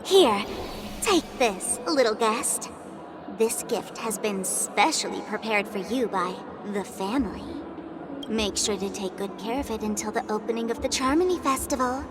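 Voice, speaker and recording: A woman's voice: a little high, normal speed, background noise, lightly acted. An actress playing a sweetly sinister hostess in a video game, coaxing and teasing as she talks. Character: sweetly sinister hostess handing gifts to little guests. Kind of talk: scripted video game dialogue.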